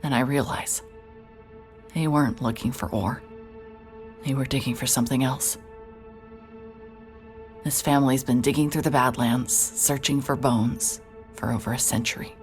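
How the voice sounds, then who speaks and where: A young woman narrating a horror audio drama in first person, tense and breathless, dropping to whispers and breaking into sudden shouts. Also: a bass voice, fairly even intonation, normal speed, pauses often, faint music behind. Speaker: narrator and lead character.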